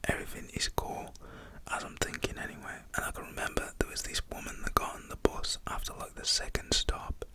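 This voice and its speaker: A young man telling a story in a casual, rambling whisper, full of fillers. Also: fairly quick.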